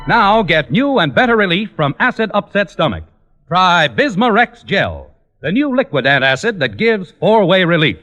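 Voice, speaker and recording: A man's voice: high voice, bright, forceful tone. A man announcing on 1950s radio, reading credits and commercials in an emphatic, polished, formal style. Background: music.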